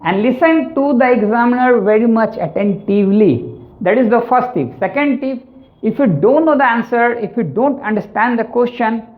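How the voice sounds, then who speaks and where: A man's voice: very high-pitched, animated, moderate pace. A man with an Indian English accent, teaching exam tips and often tagging lines with "right?" and "actually". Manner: repetitive, keeps adding "right?" and "actually". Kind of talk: spoken lesson on exam tips. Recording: tinny, phone-like sound.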